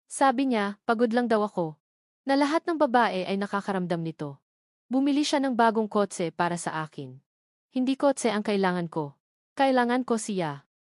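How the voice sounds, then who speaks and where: A woman's voice: mid-range voice, expressive, normal speed, no echo, studio quality, close to an everyday voice. Woman voicing a despairing, lonely young mother. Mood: lonely, sad.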